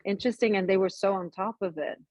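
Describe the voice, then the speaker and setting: Raised woman, animated and rambling. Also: mid-range voice, fast, no room sound. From Italy. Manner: animated, restarts sentences often. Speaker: guest actress. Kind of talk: casual interview over a call.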